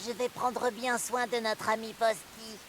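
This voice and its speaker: A woman voicing a chatty, hasty vehicle in a children's cartoon, brisk and expressive. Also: on the high side, very noisy, close to an everyday voice.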